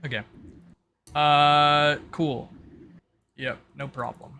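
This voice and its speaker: A man's voice: fairly high pitch, level tone, very slow, vocal fry, bright, forceful tone, slight background noise. A man talking casually as game master of a tabletop roleplaying game, explaining rules in an accommodating way.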